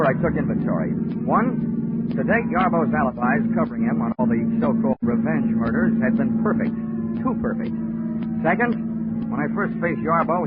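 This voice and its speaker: A man's voice: medium pitch, phone-quality sound, natural voice. Actor as a hard-boiled male investigator. Dry wisecracks, blunt. Old radio broadcast with score. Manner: dry, wisecracking narration and blunt tough-guy talk. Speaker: narrator and lead. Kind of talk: 1940s radio acting.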